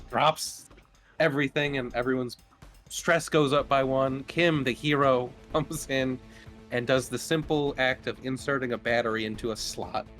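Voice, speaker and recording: A man's voice: medium pitch, fairly even intonation, unhurried, pauses often. A man casually narrating a tabletop game as game master, jokey and given to swearing. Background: soft music.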